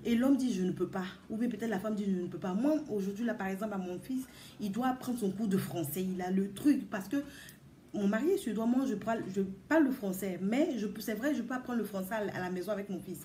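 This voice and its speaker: A woman's voice: medium pitch, fast talker, warm tone, echoey room, slight background noise. A woman vlogger giving casual advice to mothers, talking earnestly and emphatically, repeating words and addressing her listeners directly. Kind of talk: casual advice talk to viewers.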